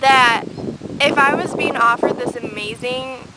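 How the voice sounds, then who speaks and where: A woman's voice: high-pitched, unhurried. A nineteen-year-old woman vlogging outdoors, chatting casually with lots of fillers and rambling asides. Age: late teens. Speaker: beauty vlogger. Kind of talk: casual chat to camera. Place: outdoors on a windy day. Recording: loud wind noise outdoors. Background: wind noise and passing vehicles.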